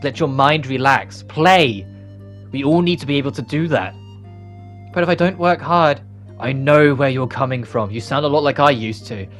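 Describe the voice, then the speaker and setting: A man with a mid-pitched voice hosts a let's play. He reads visual novel dialogue aloud and adds his own casual commentary, with faint game music underneath. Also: fairly bright tone.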